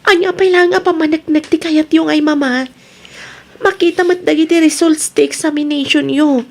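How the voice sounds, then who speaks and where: Woman playing worried scolding younger female relative, Ilocano accent, high voice, radio drama, nagging, admonishing. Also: fairly even intonation, moderate pace, darkish tone.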